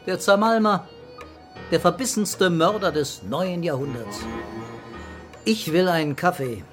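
A calm cop boss, dry and needling, voice a bit on the high side, crime audio drama. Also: male voice, measured pace, over music. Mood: coolly provocative. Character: unflappable, laconic male police commissioner. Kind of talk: crime radio drama acting.

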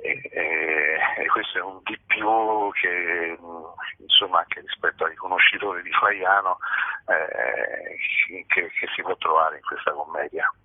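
A man speaks in a low, raspy voice over a thin, roomy phone connection. He answers as an interviewed actor, thoughtfully and haltingly, often searching for his words. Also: fairly even intonation. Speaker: stage actor, interview guest. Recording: muffled roomy telephone line.

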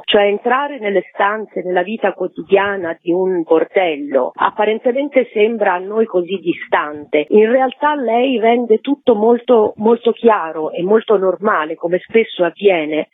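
A woman's voice: medium pitch, dry recording. A woman speaking as an actress and director in an interview, articulate and reflective, explaining at length over the telephone. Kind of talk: spoken interview about a stage production. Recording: tinny phone line on radio.

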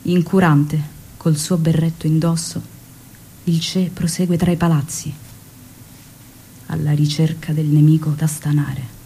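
A woman's voice: sits low, flat delivery, measured pace, pauses often. An actress playing a devoted young revolutionary woman who recalls her commander in evocative, theatrical stage storytelling. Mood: suspenseful. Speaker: actress narrating. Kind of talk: dramatic stage monologue and dialogue.

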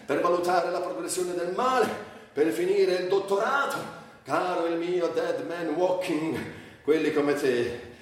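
Echo-drenched, bitter, anguished man. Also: high-pitched. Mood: bitter mockery. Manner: sardonic, volatile, with drawn-out words and breathy outbursts. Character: bitter, anguished man with muscular dystrophy, sardonic and foul-mouthed. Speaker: stage actor performing a monologue. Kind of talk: theatrical dramatic monologue. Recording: echoey hall, distant mic, faint noise.

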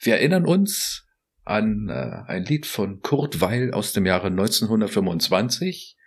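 A man from Berlin co-hosting a podcast, wry and lively, with a husky voice. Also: on the low side, measured pace. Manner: lively, wry, likes reciting and quips. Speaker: podcast co-host. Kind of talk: casual chat.

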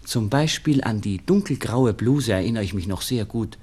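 An actor playing a charming but evasive young Viennese lover in a radio play, gentle and courteous, with a Viennese accent. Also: male voice, lowish voice, animated, moderate pace, breathy edge. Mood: tender, evasive. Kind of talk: 1960s German radio play acting. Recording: 1960s radio studio recording.

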